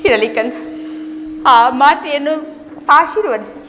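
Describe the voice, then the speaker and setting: A woman's voice: high voice, animated, slow, fairly bright tone, a little hiss or hum, heavily acted. A woman playing a sorrowful, pious queen and mother in a declamatory mythological stage drama. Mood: plaintive. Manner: plaintive, devout. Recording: reverberant hall with music behind.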